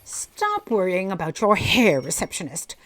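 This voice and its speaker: A woman's voice: on the low side, wildly swooping pitch, measured pace, fairly bright tone, slight background noise, full character voice. A woman playing an impatient, imperious boss in a comic audio drama, curt, bossy and exasperated. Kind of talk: audio drama comedy acting.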